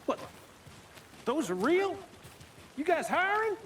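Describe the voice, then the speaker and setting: An eager, folksy small-town deputy in a crime game. His high voice swings around in pitch and takes its time. He sounds naive and chatty, a little off from the mic. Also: very animated, slow pace, a bit bright, recorded from a distance, slight background noise, exaggerated voice. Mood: eager, excited. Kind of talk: video game dialogue.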